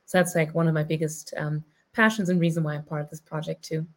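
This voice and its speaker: A woman's voice: low voice, fairly even intonation, fast talker. A woman from Germany giving an upbeat self-introduction on a video call, with frequent ums. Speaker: community co-founder.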